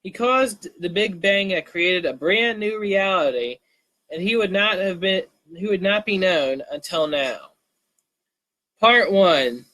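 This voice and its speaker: A man's voice: high voice, lively intonation, slow, pushed and bright, rough recording. A man reading his own stories live, slipping between narration and casual remarks, sometimes stumbling over words. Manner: stumbles and restarts while reading.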